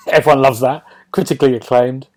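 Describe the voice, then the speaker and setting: A man's voice: medium pitch, steady tone, moderate pace, a little mellow. A man hosting a casual gaming podcast, chatting and putting questions in an informal way. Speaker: podcast host.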